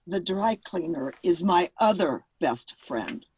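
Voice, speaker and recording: Woman playing a fed-up middle-aged mom in a radio play, curt n dry, pitch jumps around, bit husky. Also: lively intonation, slow, a little mellow, full character voice. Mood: dry, defensive. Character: exasperated, blunt wife and mother who demands answers.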